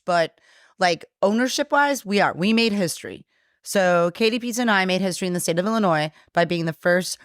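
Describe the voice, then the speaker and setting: A woman whose first language is Polish, chatting as a guest on a bar podcast and telling animated stories with acted-out quotes. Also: on the low side, dry recording, clean studio sound. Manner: animated storyteller, acts out quotes, swears casually. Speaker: guest, brewery co-owner. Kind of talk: casual bar podcast chat.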